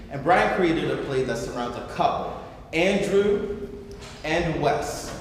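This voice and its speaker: A man hosting a stage event, speaking to an audience with an enthusiastic, theatrical delivery. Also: a little high. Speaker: host. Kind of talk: addressing a theater audience, then reading narration. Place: large reverberant indoor venue. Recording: echoey hall, distant, faint noise.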